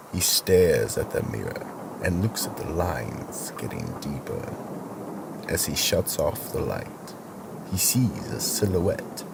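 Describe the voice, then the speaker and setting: A man with a gravelly, husky voice giving deliberate, solemn dramatic narration for a mystery radio play. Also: very deep voice, background noise.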